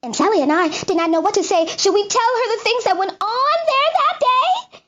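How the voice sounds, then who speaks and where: Female storybook reader: high, highly animated, theatrical delivery with a bouncy rhythm for children. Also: moderate pace, muffled recording, noisy background. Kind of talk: animated children's read-aloud narration.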